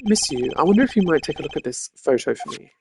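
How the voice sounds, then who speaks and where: A streamer voicing a composed, stern young male prosecutor from a video game, measured, formal and accusatory. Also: medium pitch, very animated, gravelly, a little mellow, slight background noise. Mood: pressing. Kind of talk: video game character voice acting.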